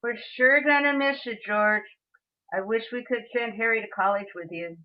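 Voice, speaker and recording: A woman playing a gentle, earnest older father in an amateur radio play, speaking sincerely and a little haltingly. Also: on the high side, lightly acted. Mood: hopeful, tentative. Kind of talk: community theatre radio play acting. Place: remote video call. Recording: dull lo-fi video call audio.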